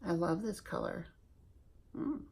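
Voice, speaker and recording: Gravelly, husky-voiced woman vlogger. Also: fairly low pitch, animated, darkish tone. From Alaska, USA. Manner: slow-talking, chatty, self-deprecating. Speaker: beauty vlogger. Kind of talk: chatty makeup tutorial.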